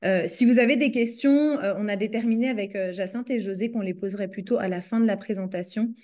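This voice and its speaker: A woman's voice: medium pitch, quick pace, warm tone. Hesitant woman with a French accent. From France. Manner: hesitant, frequent fillers and restarts. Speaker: psychologist presenting her doctoral research. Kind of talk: academic presentation. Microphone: video call.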